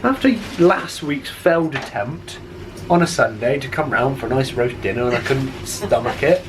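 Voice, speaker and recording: Chatty male vlogger with a head cold, jokey and rambling, steady car noise behind. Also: medium pitch, moderate pace. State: congested with a cold. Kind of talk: casual vlog chat.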